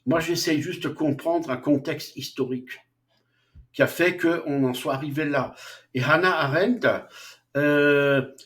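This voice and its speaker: A man lecturing on philosophy at an unhurried, deliberate pace. He talks off the cuff, stopping often for fillers and restarting his sentences. His voice sits at an ordinary middle pitch. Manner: slow, hesitant, frequent fillers and restarts. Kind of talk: unscripted lecture.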